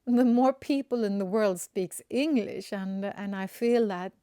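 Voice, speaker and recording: Swedish-accented woman, spiritual coach; slow, warm, gentle and searching, dry close sound. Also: medium pitch, clean tone, dry recording. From Sweden. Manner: gentle, searching, with restarts and soft fillers. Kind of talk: unscripted inspirational monologue.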